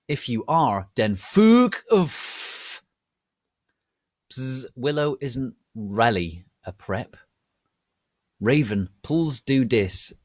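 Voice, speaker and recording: A man's voice: mid-range voice, dry recording, studio quality. A man voicing a melodramatic, bratty goth teenage girl who narrates her story in a theatrical, petulant, emphatic way. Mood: petulant, scornful. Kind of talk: dramatic reading of amateur fan fiction.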